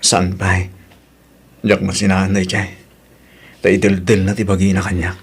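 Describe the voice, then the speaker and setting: A man's voice: sits low, little pitch movement, moderate pace, breathy edge. A man with an Ilocano Filipino accent playing a pleading male suitor in an audio drama. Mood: apologetic.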